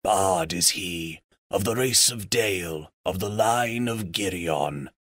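A man voicing a decrepit, wise old raven chief in a storybook, croaking out solemn, formal counsel. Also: low voice, fairly bright tone, dry recording, clean studio sound, full character voice. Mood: grave, weary. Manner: slow, formal, archaic counsel.

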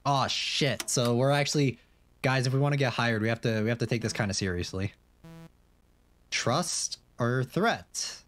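A man's voice: medium pitch, moderate pace, fairly bright tone. A young man streaming a game, chatting casually and rambling, with lots of fillers and restarts, and reading on-screen questions aloud.

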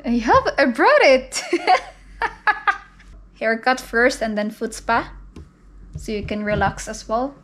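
A woman's voice: a little high, animated, slow pace, long pauses, fairly bright tone. A young woman from the Philippines, Filipino her first language, chatting playfully and giggling in a casual home vlog. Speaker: vlogger. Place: small home living room and bathroom, indoors. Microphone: handheld camera at arm's length. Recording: noisy home camera audio.

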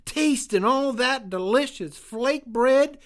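A man voicing an eager, gullible cartoon townfish who loves food, with a folksy, excitable way of talking. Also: extremely high pitch, takes it slowly. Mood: delighted. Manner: folksy, excitable, chuckling nervously or gleefully. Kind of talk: cartoon audio drama acting.

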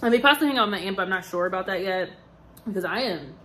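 A woman's voice: medium pitch, animated, rapid-fire, very noisy. A young woman, tired, chatting casually to her vlog audience with lots of fillers and rambling asides. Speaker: vlogger. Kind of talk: casual chat to camera.

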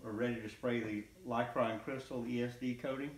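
Middle-aged male DIY demonstrator, gravelly fry in the voice, off-the-cuff short phrases, noisy home recording. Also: mid-range voice, fairly even intonation, a little fast. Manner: unscripted, speaking in short bursts while working. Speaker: hobbyist builder demonstrating his work. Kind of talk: casual hands-on how-to narration. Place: indoor room at home, at a worktable.

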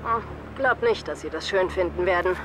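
A man's voice: sits high, normal speed, noisy background, close to an everyday voice. A man playing a hesitant, grim colleague in audio drama dialogue. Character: hesitant, grim male colleague reporting bad news.

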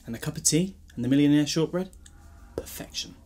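A man's voice: a little high, fairly quick, warm tone, a little hiss or hum, close to an everyday voice. A British man playing a glib, protective time-travelling hero in an audio drama, wry and chatty with a scolding streak. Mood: breezy, fond. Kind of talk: fan audio drama acting.

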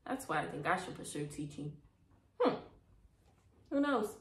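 A woman's voice: on the low side, very animated, normal speed. A young adult woman reading a children's storybook aloud with casual asides. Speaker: storybook reader, host. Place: small indoor room. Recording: echoey room.

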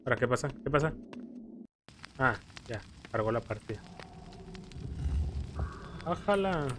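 A man's voice: medium pitch, lively intonation, unhurried, background noise. A Mexican man giving casual, rambling gameplay commentary in slangy, playfully profane speech. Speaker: video game streamer. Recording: game music behind the voice. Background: video game music.

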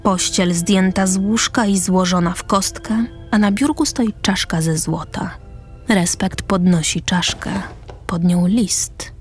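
A woman's voice: lowish voice, a little slow, faint music behind. Woman narrating a radio drama. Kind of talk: scripted radio drama narration, reading stage directions.

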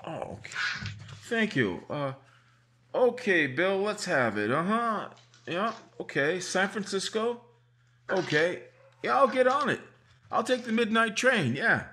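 A man's voice: sits high, very animated, measured pace, sharp tone, a little hiss or hum, heavily acted. A man playing a folksy small-town sheriff in an old-time radio mystery recreation. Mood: businesslike. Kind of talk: 1950s-style radio drama acting.

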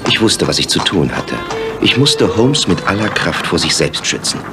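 An actor playing an earnest, worried gentleman narrator in a German radio play. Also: sits low, big pitch swings, normal speed, over loud music.

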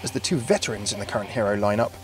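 A man's voice: fairly low pitch, expressive, rapid-fire, faint music behind. A man hosting a video with scripted narration, recorded as a close voice-over. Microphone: close dry voice-over microphone.

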